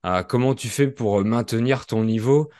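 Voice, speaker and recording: A man hosts a podcast for French learners and speaks clearly at a measured pace. His voice sits at a typical middle pitch for a man. He grew up in France. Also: dark tone. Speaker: podcast host.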